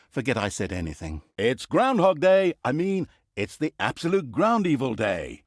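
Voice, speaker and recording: Wildly swooping, sardonic game narrator. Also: male voice, medium pitch, no echo, clean studio sound. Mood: wry, teasing, then gleeful, teasing. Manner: mock-solemn, tongue-in-cheek, dramatic. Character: grandiose, sardonic storyteller narrator of an evil fantasy game. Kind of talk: theatrical comic scripted game narration.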